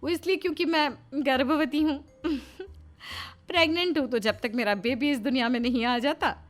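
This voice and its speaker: Woman acting a chatty, high-pitched young neighbour. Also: normal speed, close to an everyday voice. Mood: chatty, smug. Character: idle, self-satisfied pregnant neighbour woman.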